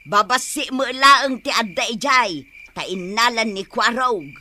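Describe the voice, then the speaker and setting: Naggy wife, bright lively voice going up and down. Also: female voice, a little high, unhurried, heavily acted. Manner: nagging, scolding. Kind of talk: radio comedy drama acting.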